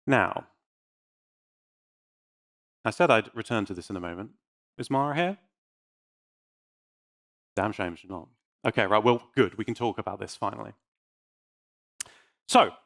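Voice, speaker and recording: A man's voice: medium pitch, a bit bright. A man giving an informal conference talk on tech in a playful, dryly joking and mock-defiant manner. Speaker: conference speaker.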